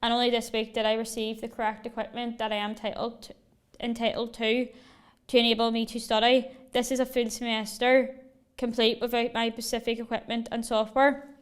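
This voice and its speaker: A young woman from Northern Ireland reading a prepared rally speech at a measured, deliberate pace. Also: on the high side, level tone, clean tone. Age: young adult. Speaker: rally speaker. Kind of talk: prepared speech read aloud. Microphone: video call.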